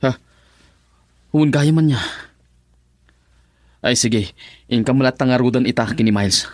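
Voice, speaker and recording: An actor plays a young Filipino son in a radio drama, grumbling and reluctant. His voice is medium in pitch and recorded very dry. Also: unhurried, no room sound, lightly acted.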